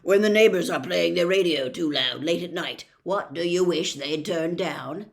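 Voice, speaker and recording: Narrator doing a blustery storybook doctor guy, booming and loud, really declaims everything. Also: moderate pace, creaky, natural voice. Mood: blustering. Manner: emphatic, declamatory. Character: blustering, roaring male doctor who adores noise.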